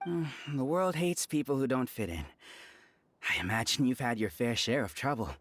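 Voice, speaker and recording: An actor playing a smooth-talking, manipulative schemer in a video game, silky and persuasive. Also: male voice, mid-range voice, animated, moderate pace, a bit bright, dry recording.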